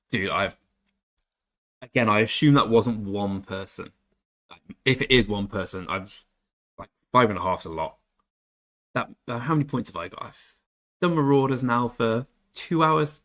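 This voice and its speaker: A man's voice: medium pitch, unhurried, pauses often, fairly bright tone. A young English man chatting casually on a game stream, reading chat and joking about himself. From England. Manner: chatty, self-deprecating, reads chat aloud.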